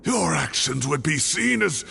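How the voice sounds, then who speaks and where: An actor playing a weary, remorseful old scholar in a video game, gravelly and grave, with a protective defiance. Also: male voice, fairly high pitch, big pitch swings, bright, forceful tone, exaggerated voice. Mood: defiant, protective. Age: older. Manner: slow, grave, deliberate delivery. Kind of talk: dramatic video game dialogue. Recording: music playing under the voice.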